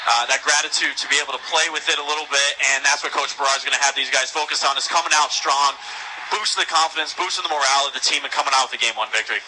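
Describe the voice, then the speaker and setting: A man's voice: medium pitch, fairly even intonation, racing, sharp tone. A man giving conversational pre-game analysis as a colour commentator on sports radio. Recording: noisy sports radio broadcast.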